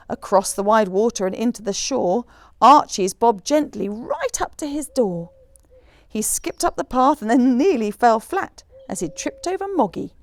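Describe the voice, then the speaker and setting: A woman reading a rhyming children's picture book aloud in an expressive, rhythmic storyteller's manner. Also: a little high, creaky, no room sound, clean studio sound. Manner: animated, rhythmic rhyming delivery.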